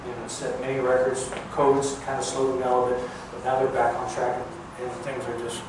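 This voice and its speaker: An older man, a former air traffic controller, gives an informal talk in a plain-spoken, halting, folksy way. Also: mid-range voice, monotone. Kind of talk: informal public talk recounting career. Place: large echoey museum room. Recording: echoey hall, distant mic, clear background noise.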